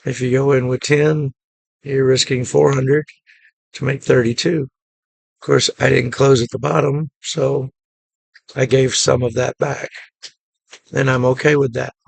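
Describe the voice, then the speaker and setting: A man with a deeply gravelly, hoarse voice that has been worn down by a cough. He speaks in a flat, even monotone at a middle pitch and goes through a trade recap in a relaxed, matter-of-fact way. His voice is close and dry, with no room sound around it. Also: measured pace, pauses often, dry recording. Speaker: day trading channel host. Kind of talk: casual screen-share trade recap.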